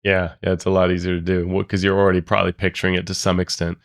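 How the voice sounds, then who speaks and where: Deep, gravelly-voiced male podcast co-host who talks in a chatty way full of asides. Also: monotone, rapid-fire. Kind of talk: casual podcast discussion.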